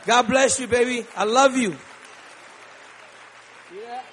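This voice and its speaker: A man from Liberia preaching a sermon in a large church, calling to the congregation and rising into shouts. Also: very high voice, steady tone, takes it slowly, some chatter behind, a little hiss or hum. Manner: rhythmic call-and-response preaching, building to shouts. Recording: long church reverb.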